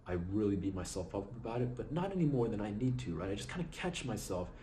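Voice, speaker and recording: Low-voiced man, tired and a bit raspy. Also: fast talker, slight background noise. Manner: relaxed, reflective, keeps adding "right?". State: low energy, slightly raspy after a long night. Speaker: vlog host. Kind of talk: casual motivational vlog talk.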